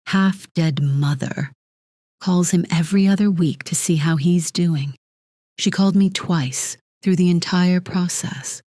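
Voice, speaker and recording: An actress playing a cold, controlling corporate executive in an audio drama, speaking in a clipped, deliberate, imperious way with a breathy edge. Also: female voice, deep voice, dark tone, no echo, studio quality. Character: cold, controlling female corporate executive, divorced, armor cracking.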